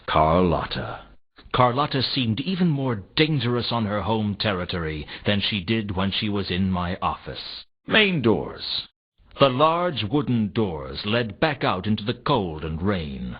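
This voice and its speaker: A hardboiled detective from a noir video game, world-weary and suspicious. His low voice swings widely in pitch while staying dry and sardonic. The audio is slightly dull, like compressed console game sound. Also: slightly rough, full character voice. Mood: wary, dry. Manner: dry, deadpan, wry.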